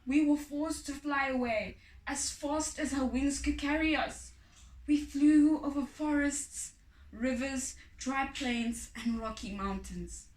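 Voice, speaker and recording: A woman tells a folk tale in a slightly high voice. Her delivery is slow and measured. The room echoes around her and the mic is a bit distant. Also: a little mellow. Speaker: story reader. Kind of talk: expressive folk tale reading.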